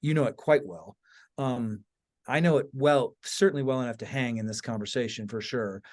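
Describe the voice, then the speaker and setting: A man's voice: mid-range voice, moderate pace. A middle-aged man co-hosting a podcast in casual conversation, informally thinking aloud with frequent asides. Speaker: podcast co-host.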